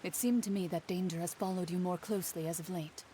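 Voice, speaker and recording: Woman acting as a dutiful female bodyguard, mellow mid-range voice, reserved, formal, video game dialogue, faint hiss. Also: on the low side, fairly even intonation, quick pace, lightly acted. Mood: resolute. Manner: reserved, formal, matter-of-fact. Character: stoic, dutiful female swordswoman and protector.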